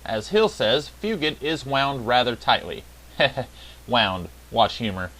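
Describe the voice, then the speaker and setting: Male reviewer, mid-pitched and very lively in intonation, conversational scripted narration with opinions. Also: takes it slowly. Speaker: video reviewer, host of a series of episode reviews.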